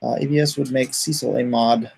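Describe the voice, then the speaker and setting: A man's voice: medium pitch, steady tone, brisk, creaky, a little mellow, slightly rough. A man hosting a livestream, chatting casually and reading viewer comments aloud in a rambling, joking way. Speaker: comic artist hosting a livestream.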